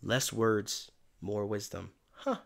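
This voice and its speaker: A man giving a casual spiritual tarot reading in a soft, meandering, reflective way, his voice tired and giving out. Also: on the low side, takes it slowly, vocal fry, slight background noise. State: voice giving out, tired by day's end. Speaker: tarot reader and channeler.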